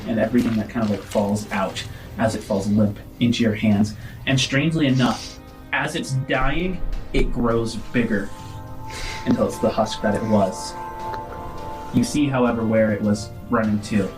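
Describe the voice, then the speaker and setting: A male game master with a mid-pitched voice narrates a tabletop adventure. He improvises vivid, dramatic description over faint background music. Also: rough recording.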